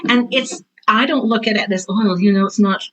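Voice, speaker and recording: A woman's voice: mid-range voice, moderate pace, poor audio. A woman in her sixties speaking as an interview guest, a chatty, emphatic teacher who tells anecdotes and acts out quotes. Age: 60s. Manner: chatty, emphatic, often quotes herself and others. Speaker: guest, veteran theater teacher and author. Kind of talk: animated conversational interview, anecdotes.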